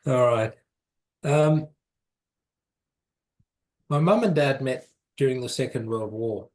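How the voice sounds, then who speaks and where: A man's voice: mid-range voice, takes it slowly, darkish tone, low-quality recording. A man, telling his life story as an interview guest, rambling and correcting himself with light chuckles. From South Africa, raised in New Zealand. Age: 70s.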